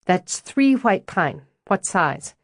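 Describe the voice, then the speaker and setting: A woman voicing a brisk, buttoned-up office manager who talks in crisp, dry, clipped lines. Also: medium pitch, very animated, dry recording, full character voice.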